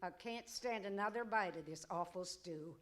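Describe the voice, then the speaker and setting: A woman's voice: medium pitch, normal speed, a bit bright, a little hiss or hum, natural voice. A woman playing a bossy, teasing older mother in an old-time radio Western comedy, with folksy, scolding talk. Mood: exasperated, nagging.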